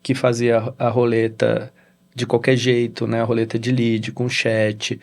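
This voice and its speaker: A middle-aged Brazilian man with a Brazilian Portuguese accent, a guest in a casual interview, telling a story in halting sentences with frequent "né?" tags. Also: medium pitch, completely flat delivery, creaky, darkish tone. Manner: halting, with many restarts and "né?" tags. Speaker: interview guest, real estate executive.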